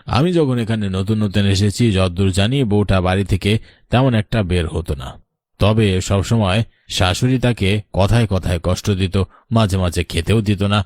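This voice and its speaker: A man voicing a grave, knowing older village man in a horror cartoon, solemn and measured like a storyteller. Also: fairly low pitch, a little mellow, no echo, clean studio sound, lightly acted.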